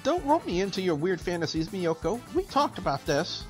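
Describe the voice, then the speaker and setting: A man's voice: high-pitched, very animated, fairly quick, soft background music, pitched above the performer's own voice. Man voicing a huffy, flustered young woman. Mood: annoyed, flustered. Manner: defensive, huffy. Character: proud, easily flustered young woman with weak heart.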